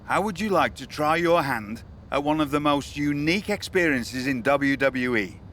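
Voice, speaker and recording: A man playing a smooth-talking, evasive wrestling show manager in a video game cutscene. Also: a little high, lively intonation, normal speed, fairly bright tone, slight background noise. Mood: courting, pleased.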